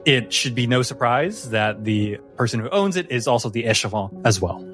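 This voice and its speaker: A man's voice: mid-range voice, lively intonation, moderate pace. Man narrating a tabletop game over music. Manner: descriptive, casual phrasing. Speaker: game master.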